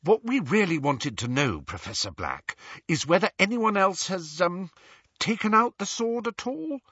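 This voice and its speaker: A man's voice: high voice, very animated, measured pace, a bit bright, husky, no room sound, muffled recording, pitched above the performer's own voice, heavily acted. A man voicing an earnest teenage girl from a storybook, polite but insistent in manner. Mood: polite, pleading. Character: earnest, pleading teenage girl, quick to defend friends.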